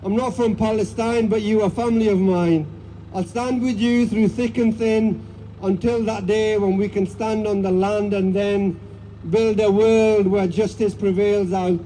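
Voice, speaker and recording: A man speaking at an outdoor protest rally. He reads a poem aloud in an earnest, measured way, with a few ums before he starts. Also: high-pitched, mellow, slight background noise, low-quality recording. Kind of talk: poetry recitation at a rally. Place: outdoors in cold weather at a street rally.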